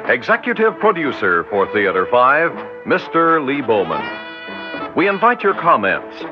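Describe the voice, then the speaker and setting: A man reading closing credits as a formal, deliberate radio drama announcer in mid-century style. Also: medium pitch, wildly swooping pitch. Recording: dull vintage radio recording. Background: orchestral music.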